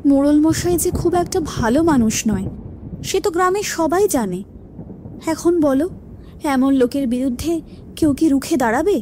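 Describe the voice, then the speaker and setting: A woman's voice: sits high, lively intonation, normal speed, long pauses, dark tone, a little hiss or hum. A woman playing a worried, timid village wife in a cartoon, plaintive and confiding. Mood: anxious agreement. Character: worried, timid village wife gossiping about an extortionist headman. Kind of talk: Bengali cartoon story dialogue.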